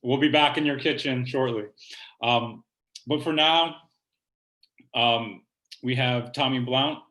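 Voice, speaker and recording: A man's voice: mid-range voice, takes it slowly, a bit bright. A man casually hosting a poetry reading over a video call from home, chatting between readers. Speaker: poet hosting a reading series. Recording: echoey room on video call.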